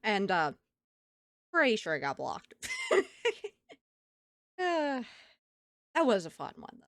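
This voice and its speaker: A woman streamer chatting casually with her live chat, telling stories in an animated way and laughing often. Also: medium pitch, slow pace, dry recording, studio quality. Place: home streaming setup.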